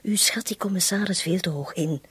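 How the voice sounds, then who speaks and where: Husky, blunt protective housekeeper. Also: female voice, fairly low pitch, fairly quick, fairly bright tone, no echo. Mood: reproachful. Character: frank, protective female housekeeper confronting her master. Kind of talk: radio drama acting.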